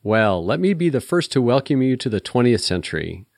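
A man's voice: mid-range voice, sing-song, brisk, vocal fry, a little mellow, no room sound, studio quality, voice pushed up. A man voicing a playful, teasing and bossy female secretary in an audiobook story.

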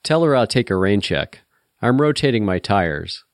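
A stubborn, grumpy private eye, acted by a male audiobook narrator. His voice sits fairly low, with sardonic, dry wisecracks. The recording is close and dry. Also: expressive, normal speed, no room sound.

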